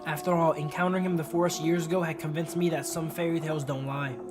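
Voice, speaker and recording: A man's voice: a little high, little pitch movement, quick pace, close to an everyday voice. Man reading as weary, introspective narrator, music behind. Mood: brooding. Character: first-person narrator, weary anxious man on the run. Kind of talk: scripted visual novel narration read aloud. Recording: music under the voice.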